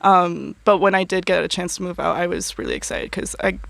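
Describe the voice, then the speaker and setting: Young woman with vocal fry. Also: fairly low pitch, sing-song, fairly quick, a bit bright, no room sound. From United States. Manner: chatty, many fillers and laughs. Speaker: university student guest. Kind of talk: casual interview chat.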